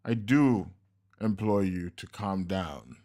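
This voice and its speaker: A man voicing a slickly dressed, coolly polite and menacing henchman, speaking slow, measured and smug. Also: deep voice, warm tone. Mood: smooth.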